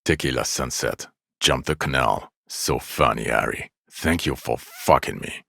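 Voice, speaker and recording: A bitter, world-weary policeman with an exceptionally deep voice. It crackles with gravel and sits close and dry. He scolds with heavy sarcasm and open contempt, like a partner who is utterly fed up. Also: a little slow, sharp tone, dry recording, heavily acted. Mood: bitter mockery. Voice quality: gravelly, low. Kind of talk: video game character voice acting.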